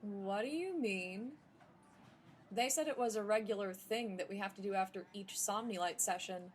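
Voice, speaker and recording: A woman's voice: medium pitch, normal speed, slight background noise, natural voice. A woman playing a wary, troubled young patient in a radio drama, guarded and doubting. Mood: evasive. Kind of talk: modern radio drama acting.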